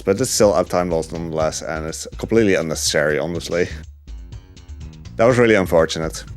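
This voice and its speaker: Low-voiced man commentating a speedrun. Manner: relaxed, chatty, many fillers. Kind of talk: casual gameplay commentary. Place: home living room couch.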